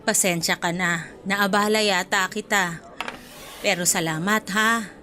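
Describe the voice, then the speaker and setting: A woman playing a kindly, apologetic older student in a radio drama, speaking in a gentle, motherly and patient way. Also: medium pitch, fairly even intonation, unhurried. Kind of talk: radio drama acting in Filipino. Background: music bed.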